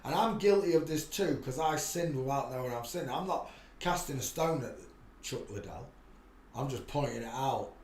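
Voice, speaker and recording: A man hosting a live stream, talking in a preachy, rambling monologue with frequent restarts and sudden loud bursts. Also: mid-range voice, lively intonation, moderate pace, roomy sound, a little hiss or hum. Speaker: livestream host.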